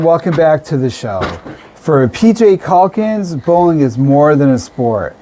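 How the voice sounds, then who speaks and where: A man with a mellow, relaxed voice hosts a radio interview show. He speaks in an encouraging way at a middle pitch, with faint noise behind him. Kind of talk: interview and scripted radio links.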